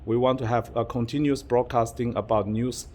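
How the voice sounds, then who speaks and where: A man's voice: medium pitch, fairly even intonation, moderate pace, dark tone, slight background noise. A man vlogging to camera in a public spot, chatty and playfully mock-secretive, with plenty of fillers and restarts. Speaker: YouTuber vlogger. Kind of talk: casual vlog to camera. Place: public venue with outside view.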